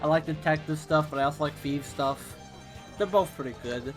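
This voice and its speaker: A man on a casual podcast, excitable and prone to swearing and giggling. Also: a little high, brisk, faint music behind. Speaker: co-host.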